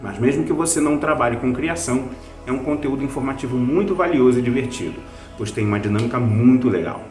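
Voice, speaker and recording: A man's voice: medium pitch, quick pace, a little mellow, roomy sound, faint music behind. A man with a Brazilian accent, hosting and narrating a scripted review. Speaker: host, writer and reviewer.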